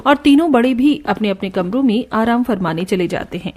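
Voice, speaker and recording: A woman giving scripted narration for a cartoon story in an even, descriptive way. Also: fairly high pitch, brisk.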